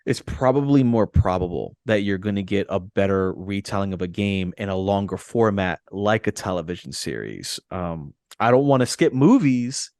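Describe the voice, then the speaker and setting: A man with a fairly low voice co-hosts a podcast. He speaks conversationally, explaining his view and restating it for emphasis. The recording is dry, with little sense of the room. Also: expressive, normal speed, hardly any pauses, a little mellow, no echo. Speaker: podcast co-host. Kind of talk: casual, conversational discussion.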